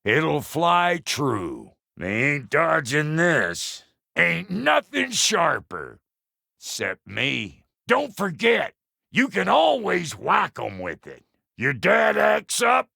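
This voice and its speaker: A gravelly, foul-tempered dwarf blacksmith from a fantasy video game, with an American accent. He is cocky and blunt in a folksy way. His pitch swoops wildly and his tone is hard and forceful. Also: huge pitch swings, drawn-out words, no room sound, studio quality, exaggerated voice. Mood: proud, cocky. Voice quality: gravelly, rough. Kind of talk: video game character lines.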